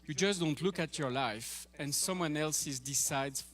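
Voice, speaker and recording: A middle-aged man from France with a French accent, talking in a relaxed, wry way to an audience about marriage. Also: on the high side, normal speed, faint music behind. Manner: relaxed, wry, speaks directly to listeners. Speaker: co-host of a marriage talk. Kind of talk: casual talk to an audience.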